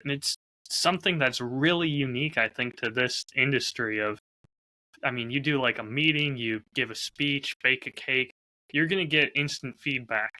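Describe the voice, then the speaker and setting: A man's voice: mid-range voice, moderate pace, sharp tone, poor audio. A man co-hosting a podcast, talking candidly and articulately in a casual, reflective, storytelling way. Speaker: podcast host and audio drama creator.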